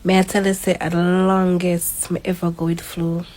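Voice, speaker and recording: A woman's voice: on the low side, monotone, moderate pace, darkish tone. A Jamaican woman in her late twenties, sick with the flu, complaining in exasperated disbelief. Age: late 20s. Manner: exasperated, incredulous. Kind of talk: casual complaint to camera.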